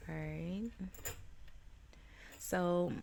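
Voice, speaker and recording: Stuffy-nosed woman reading tarot cards, slow and chatty, lots of ums, gravelly voice. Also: on the low side, steady tone, darkish tone, slight background noise. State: stuffed up with a cold. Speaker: tarot reader. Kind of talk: casual tarot reading to camera.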